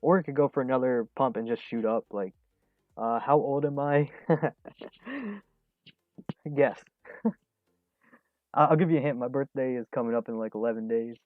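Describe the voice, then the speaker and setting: A man of about twenty talks loosely while reading chat questions on a trading stream, mid-pitched and relaxed, dropping in plenty of ums and little laughs. The sound is narrow and tinny, like a phone line. It is dry, with no room echo. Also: moderate pace, long pauses. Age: 20s. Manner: relaxed, chuckles often, many fillers. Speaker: stock trading livestream host. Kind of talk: casual livestream chat reading viewer questions.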